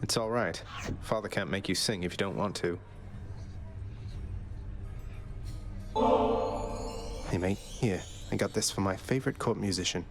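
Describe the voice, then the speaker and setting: A young prince of the underworld with a low voice. He talks in a wry, casual, understated way, as video game dialogue over prominent music. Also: brisk, vocal fry. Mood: easygoing. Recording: music behind the voice.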